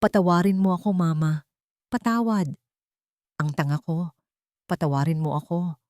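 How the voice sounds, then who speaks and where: A woman's voice: fairly low pitch, moderate pace, a little mellow, dry recording, close to an everyday voice. A woman voicing a humbled wealthy grown son, earnest and pleading, in an audio drama. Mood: anguished, pleading. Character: remorseful rich grown son, humbled male boss.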